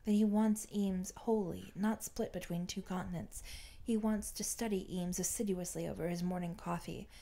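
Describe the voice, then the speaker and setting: A woman's voice: mid-range voice, fairly even intonation, moderate pace, few pauses, mellow. A woman reading fan fiction aloud as scripted audiobook-style narration.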